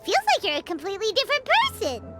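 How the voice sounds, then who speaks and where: Casting: tiny excitable game fairy, extremely high childlike squeak, swooping intonation. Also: female voice, fast, exaggerated voice. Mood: teasing, puzzled. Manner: exclamatory, refers to itself in third person. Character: tiny childish flying fairy companion, female, excitable, indignant. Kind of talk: video game character acting. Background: loud music.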